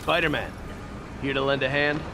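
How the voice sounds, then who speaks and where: A man's voice: medium pitch, steady tone, moderate pace, natural voice. A man voicing a weary, plain-spoken city police officer in a video game. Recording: noisy city street with vehicles.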